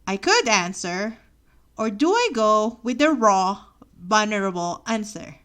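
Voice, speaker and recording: Bright-voiced Filipino woman reading her memoir. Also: medium pitch, very animated, measured pace. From Philippines. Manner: reflective, earnest storytelling with rhetorical questions. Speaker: podcast host. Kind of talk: reading a personal memoir aloud.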